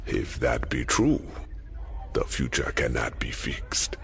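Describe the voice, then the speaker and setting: An actor playing a world-weary, cryptic mystic man in a video game, musing slowly and philosophically in a deep voice. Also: big pitch swings, vocal fry, heavily acted. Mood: musing, ominous. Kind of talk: dramatic video game voice acting. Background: faint music.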